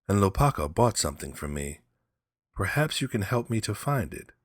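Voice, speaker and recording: A man's voice: fairly low pitch, sing-song, normal speed, no room sound, studio quality. A man voicing a brooding, determined man who speaks in an earnest, plain, insistent way. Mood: earnest insistence. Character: brooding, determined Hawaiian man seeking a bottle.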